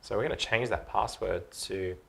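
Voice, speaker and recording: A man's voice: lowish voice, moderate pace, creaky, a bit bright. Casual man giving step-by-step tutorial. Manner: informal, explanatory, checks along with okay. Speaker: presenter of product tutorials.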